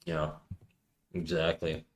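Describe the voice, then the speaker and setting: A man's voice: deep voice, very animated, slow, vocal fry, a little hiss or hum. A man of thirty chatting casually on a live stream, rambling in slang and reacting to chat messages. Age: 30s.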